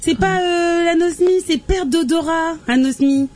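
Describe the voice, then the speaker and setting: A woman hosting a live radio show as lively chat, teasing and playful, rambling as she goes. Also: very high voice, unhurried, faint music behind.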